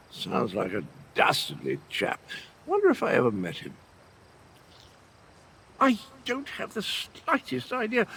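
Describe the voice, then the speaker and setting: Dotty old gallant knight, British,, game role: high, gravelly, extremely animated, whimsical and befuddled. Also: male voice, full character voice. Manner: theatrical, whimsical, rambling with archaic genteel slang. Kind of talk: video game character dialogue.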